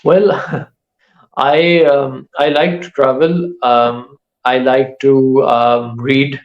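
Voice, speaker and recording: A male author, whose first languages are Urdu and Saraiki, giving casual interview answers in a thoughtful way that pauses often mid-sentence. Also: mid-range voice, little pitch movement, highs cut off. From Pakistan. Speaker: author and writing teacher.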